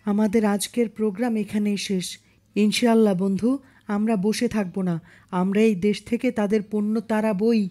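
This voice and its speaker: A woman's voice: mid-range voice, steady tone, normal speed, dark tone, close to an everyday voice. A woman voicing an earnest, devout young boy in a cartoon, exhorting others in a preachy way. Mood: rallying, resolute. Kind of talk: cartoon dialogue.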